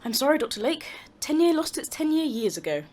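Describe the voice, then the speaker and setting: A brisk university chief in an audio drama. Her voice is rather high and very animated, rising and dropping widely as she speaks. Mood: dry, dismissive. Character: brisk, dismissive woman university vice-chancellor. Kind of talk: scripted audio drama dialogue.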